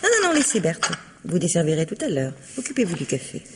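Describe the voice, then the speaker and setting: An actress playing a genteel, scheming wife in a 1950s-style French radio mystery, with a husky voice. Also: female voice, lowish voice, very animated, quick pace, noisy background. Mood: gracious. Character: genteel, scheming wife feigning anxious worry.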